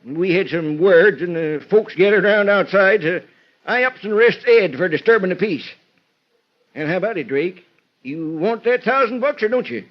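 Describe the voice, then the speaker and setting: An actor playing a crusty, folksy old small-town constable with a cantankerous rural American drawl in a radio drama. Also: male voice, high voice, animated, normal speed. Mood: grumbling, insistent. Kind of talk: 1940s radio acting. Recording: dull vintage radio recording.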